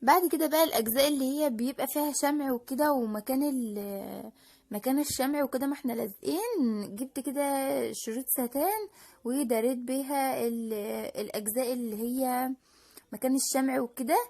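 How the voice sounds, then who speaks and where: A woman with an Egyptian Arabic accent hosts a do-it-yourself crafts video, explaining each step in a chatty, informal way. Her voice sits high, and she talks quickly, often breaking off and starting a phrase again. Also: a little mellow. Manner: chatty and explanatory, with frequent restarts and fillers. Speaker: DIY crafts channel host. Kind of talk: casual voice-over crafting tutorial.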